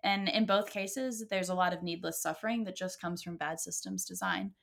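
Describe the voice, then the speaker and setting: An American woman, around her thirties, talking conversationally as a co-host, earnest and articulate as she explains in measured phrases. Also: lowish voice, steady tone, gravelly, a bit bright. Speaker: podcast co-host and health entrepreneur. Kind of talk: conversational self-introduction. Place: at home, remote call.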